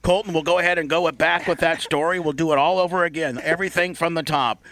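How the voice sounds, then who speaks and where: A man's voice: fairly high pitch, steady tone, quick pace, fairly bright tone, no room sound. A man hosting a loose comedic radio show, rambling and bossy as he teases the people around him.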